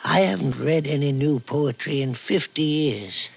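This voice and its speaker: Slow, creaky ancient prison guard. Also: male voice, on the high side, heavily acted. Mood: gentle, wistful. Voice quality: creaky, husky old voice. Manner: soft-spoken, slow, wistful. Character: weary, gentle, very old male prison guard. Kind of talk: 1960s radio drama acting. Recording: dull old radio recording.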